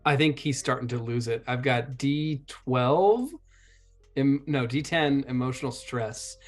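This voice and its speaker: A man playing a tabletop game, casually describing what a character is doing. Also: mid-range voice.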